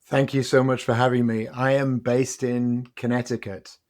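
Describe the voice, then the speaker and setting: Middle-aged british guy, thoughtful, tells it slow and measured, mid pitch. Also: flat delivery, a little mellow. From Britain. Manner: reflective, measured storyteller. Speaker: guest, company CEO and author. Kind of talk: interview conversation.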